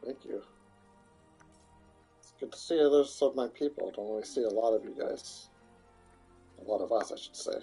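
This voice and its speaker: A man's voice: medium pitch, normal speed, pauses often, warm tone, breathy edge, soft background music. A man casually chatting and joking while streaming a fantasy game on a mic. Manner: chatty, joking, talks to chat and characters. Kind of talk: casual gameplay commentary. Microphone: headset or desk mic.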